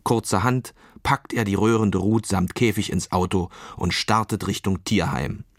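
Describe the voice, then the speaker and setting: A man narrating a scripted children's story in a measured, expressive storytelling manner. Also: low-pitched, no room sound, clean studio sound.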